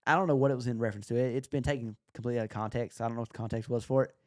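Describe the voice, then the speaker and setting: Male podcast co-host, medium pitch, dry sound, casual film chat. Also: steady tone, racing, no room sound.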